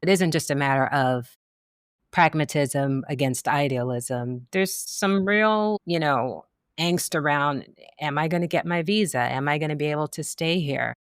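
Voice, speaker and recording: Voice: mid-range voice, measured pace, clean tone, dry recording, studio quality. A podcast host who affirms the guest and asks thoughtful questions. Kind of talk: podcast interview.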